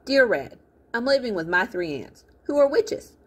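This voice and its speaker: Storybook young boy, played by a woman: earnest, boastful, emphatic, with bouncy pitch and a husky edge. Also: very animated, normal speed. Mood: eager, chatty. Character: earnest, boastful young boy dictating messages to his friend.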